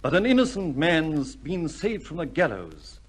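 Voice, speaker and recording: An actor playing an earnest, urgent titled gentleman with an emphatic formal manner in an old-time radio drama. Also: a little high, huge pitch swings, a little slow, heavily acted. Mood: pleading, indignant.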